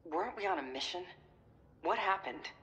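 Low-voiced, terse woman soldier. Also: animated, normal speed, a bit bright, slight background noise. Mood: tense, alert. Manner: terse, military. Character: guarded, determined young woman soldier, covert agent. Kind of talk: video game voice acting.

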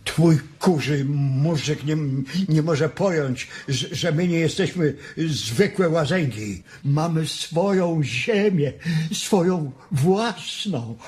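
An older man acting a stubborn, aging ranch hand in a radio drama, stammering and halting as he speaks. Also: fairly high pitch, animated, darkish tone, slightly rough, exaggerated voice. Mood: indignant. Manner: stammering, hesitant, halting speech. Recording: noisy radio play recording.

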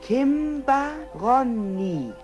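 A man voicing an earnest, friendly young male lion in a children's radio play, speaking in simple, halting words. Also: very high-pitched, very animated, darkish tone, faint music behind, full character voice. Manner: simple, halting human words, slowly spoken.

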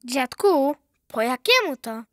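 A woman's voice: sits high, sing-song, takes it slowly, no room sound, studio quality, exaggerated voice. A woman voicing a curious, earnest little granddaughter in a children's radio play.

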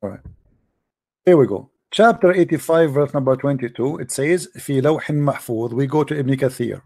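A man's voice: mid-range voice, a little fast, creaky, warm tone, no room sound, studio quality. A man hosting a live religious debate, arguing insistently, often repeating himself and quoting Arabic scripture.

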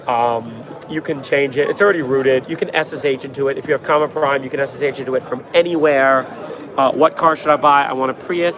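A young man hosting a casual livestream from a trade show floor, answering chat in a quick, offhand, joking way, his voice worn from a cold. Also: medium pitch, fairly bright tone. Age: young adult. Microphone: phone held at arm's length. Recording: noisy crowded convention hall. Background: crowd chatter.

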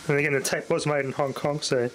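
A man's voice: fairly high pitch, flat delivery, fast, slight background noise. A man casually talking through a cassette repair at home, thinking aloud with fillers. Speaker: vintage tape hobbyist. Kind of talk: casual hands-on commentary. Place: home workshop indoors.

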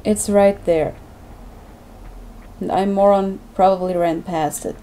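Mellow-voiced woman narrating a game. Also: mid-range voice, steady tone, slow, slight background noise, rough recording. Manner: chatty, self-deprecating, thinking aloud. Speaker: let's play gamer. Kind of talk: casual gameplay commentary.

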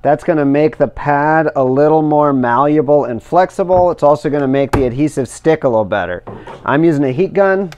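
A middle-aged man calmly explaining each step of a hands-on installation as he works. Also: a little high, smooth. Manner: careful, measured explaining while working with his hands. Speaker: instructor demonstrating kayak outfitting. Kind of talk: step-by-step how-to demonstration.

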